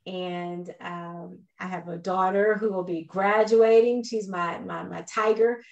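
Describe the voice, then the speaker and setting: A woman's voice: on the low side, fairly even intonation, takes it slowly, vocal fry, darkish tone, lo-fi sound. A middle-aged American woman from Texas, a minister speaking conversationally as a guest on a video call. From Texas, United States. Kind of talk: conversational interview. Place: indoors on a video call, virtual background. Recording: echoey video call audio.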